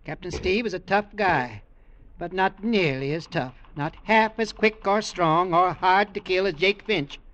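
An actor playing a cold, taunting, middle-aged businessman uncle in an old radio drama, with a sneering, deliberate delivery. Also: high-pitched, a bit bright. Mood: cold, stern. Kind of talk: 1940s-50s radio drama acting. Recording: dull vintage radio recording.

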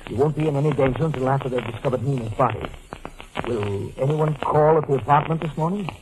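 An American actor playing a cool, calculating lawyer friend in a 1940s radio mystery, questioning and instructing in terse, deliberate phrases. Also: male voice, mid-range voice, lightly acted, poor audio. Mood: commanding. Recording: old 1940s radio broadcast.

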